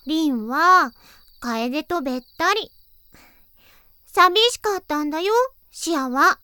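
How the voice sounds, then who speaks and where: A woman voicing a teenage girl in an anime audio drama, playfully teasing and softly pouting, with a lonely side. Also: high voice, expressive, slow pace, no room sound, clean studio sound, heavily acted. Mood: teasing, wistful.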